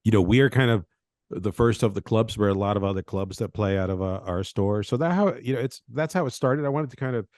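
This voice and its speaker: Low-voiced man, rambling, dry sound. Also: fairly quick, darkish tone, no echo. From Venezuela. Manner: rambling, tag questions like "right?". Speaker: game designer guest. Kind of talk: casual podcast interview.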